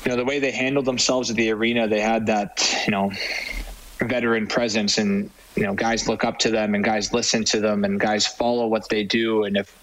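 Low, even-toned young male athlete. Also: fairly quick, low-quality recording. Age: 20s. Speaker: professional hockey player, interview guest. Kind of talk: casual sports interview answers.

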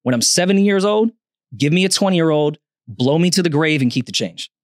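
A man's voice: on the high side, animated, brisk, no room sound. A man with an ordinary mid-range voice takes the contrary side in a podcast chat. He talks bluntly and jokes crudely. The sound is dry and close. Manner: blunt and crude, plays devil's advocate. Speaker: podcast co-host. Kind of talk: casual chat.

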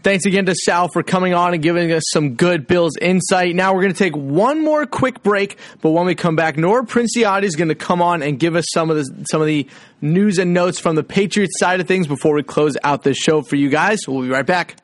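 A male sports podcast host with a fairly high-pitched voice, recorded dry with very little room sound. He talks informally, putting questions to guests in a loose, conversational style. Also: a little fast, no room sound.

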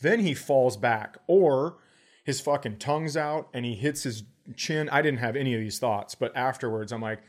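A male American comedian in casual podcast chat, with a mid-range voice. He tells stories in a profane, animated way, joking as he goes. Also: mid-range voice, normal speed.

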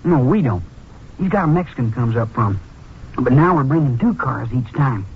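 An actor playing an eager, boastful young American car thief in a slangy, cocky manner, in a 1950s radio drama. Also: male voice, mid-range voice, animated, normal speed, slight background noise, close to an everyday voice. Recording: muffled vintage 1950s radio recording.

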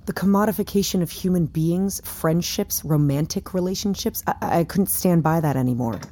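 An actress playing a guarded, defiant whistleblower giving dry, terse answers in an audio drama. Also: female voice, on the low side, normal speed, warm tone, slight background noise, close to an everyday voice. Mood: earnest, defensive. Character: guarded, defiant whistleblower woman under questioning.

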